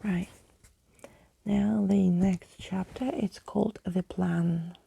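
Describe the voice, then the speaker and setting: A woman reading a storybook as ASMR, in a soft, breathy, gently hushed voice that slips into whispering. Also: medium pitch, fairly even intonation, slow pace. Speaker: ASMR reader, narrator.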